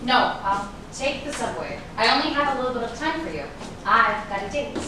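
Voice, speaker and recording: A woman's voice: fairly high pitch, big pitch swings, moderate pace. An actress playing a guarded, ambitious woman fending off an old flame in a staged reading of a drama, with dramatic, emphatic delivery. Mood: guarded, firm. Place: echoey indoor room at a staged reading. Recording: echoey room, distant mic, noisy.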